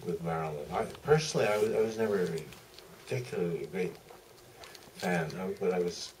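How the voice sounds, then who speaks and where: A man telling anecdotes as a film director at a Q&A, deliberate and halting, pausing mid-sentence. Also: low-pitched, darkish tone. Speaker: film director, panel guest. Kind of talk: anecdotal Q&A answer. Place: theater stage at a public Q&A. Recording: distant mic, faint room noise.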